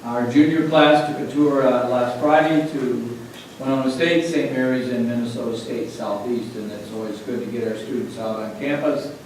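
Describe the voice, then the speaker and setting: A man giving a school report at a board meeting, measured, with frequent "uh"s. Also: medium pitch, steady tone, a little mellow, a little hiss or hum. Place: large meeting room. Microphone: distant room mic. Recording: echoey room from far off.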